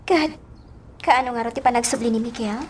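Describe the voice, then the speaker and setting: A woman's voice: on the high side, a little fast, slight background noise, close to an everyday voice. A woman acting a young, lovelorn village daughter in a radio drama, with an Ilocano Filipino accent. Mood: tender. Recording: loud background music.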